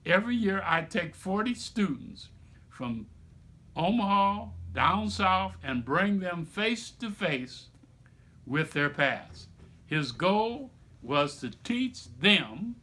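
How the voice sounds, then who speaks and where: A middle-aged man reading a book aloud like a storyteller, with emphatic, deliberate phrasing and explanatory asides to listeners. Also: sits high, bright, forceful tone. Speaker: author reading own children's book aloud.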